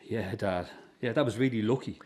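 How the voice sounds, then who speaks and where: An actor playing a middle-aged circus boss in a radio drama, defensive, bitter and short-tempered. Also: male voice, lowish voice, normal speed, darkish tone, natural voice. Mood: matter-of-fact, guarded. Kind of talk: naturalistic radio drama acting.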